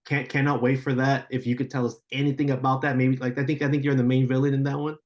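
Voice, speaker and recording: A man's voice: mid-range voice, flat delivery, quick pace. A man interviewing a guest in casual chat, friendly, laughing often and stammering into his questions.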